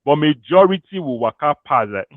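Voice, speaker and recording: A Nigerian man giving career advice to an audience in a casual, animated way, slipping into pidgin and laughing often. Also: a little high, normal speed, dry recording. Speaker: career coach. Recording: thin phone-like dry audio.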